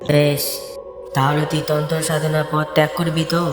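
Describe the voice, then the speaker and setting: A stern demon goddess from a cartoon, acted by a woman, speaks in imperious commands at an even pitch. Her voice echoes heavily, with music behind. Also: moderate pace. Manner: imperious, commanding, repeats orders. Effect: long reverb. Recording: echoing reverb with music behind.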